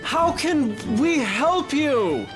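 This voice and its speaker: A man voicing an earnest, anxious young adventurer in a fantasy audio story, with halting, exclamatory delivery. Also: extremely high pitch, lively intonation, music in the background, voice pushed up, heavily acted. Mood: cautious, anxious.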